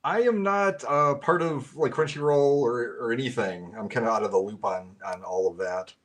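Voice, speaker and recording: A man's voice: mid-range voice, moderate pace, a bit bright. A man appearing as a guest in a casual live-stream chat, talking in an easygoing, self-deprecating way.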